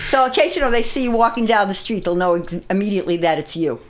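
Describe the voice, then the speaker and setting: A woman's voice: medium pitch, animated, quick pace, noisy background. A woman in her sixties hosting a radio talk show, asking direct, easygoing questions. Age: 60s. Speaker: host. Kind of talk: casual radio interview.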